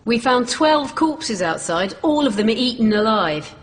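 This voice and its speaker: A woman's voice: fairly high pitch, animated, a little slow. Plucky streetwise girl adventurer, wry, very up and down, slight echo. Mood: concerned. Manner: wry, blunt, informal. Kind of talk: science fiction audio drama acting. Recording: reverberant drama mix, music behind.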